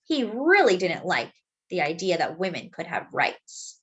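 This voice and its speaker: Woman, lively varied pitch, unhurried pace, storybook read-aloud, simple explanations for young listeners. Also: dull audio. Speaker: children's storybook reader. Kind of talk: expressive picture-book read-aloud with brief explanatory asides.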